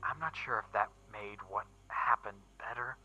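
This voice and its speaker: A man playing a shaken young witness recounting an occult horror in a first-person story reading, halting and emphatic, with stammers and repeats. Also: on the low side, pushed and bright, breathy edge, heavily acted. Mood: uneasy, haunted. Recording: muffled recording with background music. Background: eerie background music.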